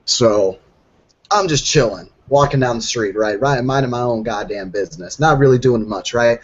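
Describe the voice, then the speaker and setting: A man's voice: mid-range voice, moderate pace, husky, dull audio, close to an everyday voice. A man playing a brash werewolf telling a battle story in a casual, profane, rambling and boastful way. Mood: swaggering storytelling. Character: brash young male werewolf storyteller bragging about a fight. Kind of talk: in-character tabletop roleplay storytelling.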